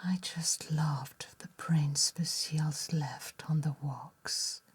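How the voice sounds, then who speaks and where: A woman playing a gentle, nostalgic bookshop keeper in a breathy ASMR roleplay whisper, with genteel, deliberate phrasing. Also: low-pitched, fairly even intonation, heavily acted. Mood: fond nostalgia.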